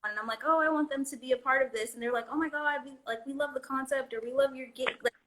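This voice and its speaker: A woman's voice: high-pitched, steady tone, fast, fairly bright tone, slight background noise. A woman in her late twenties talking as a guest in casual chat, loose and heartfelt with many likes and restarts. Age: 20s.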